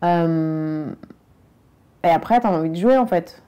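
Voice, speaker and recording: A woman's voice: fairly low pitch, slow pace, warm tone, smooth. A woman from France, talking frankly and emphatically in a casual interview. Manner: frank and emphatic, speaking directly to the listener. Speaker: documentary interviewee.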